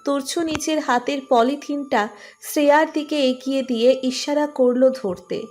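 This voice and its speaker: A woman's voice: high-pitched, fairly even intonation, unhurried, a little mellow, faint music behind. A woman reading a scripted romantic story as narrator.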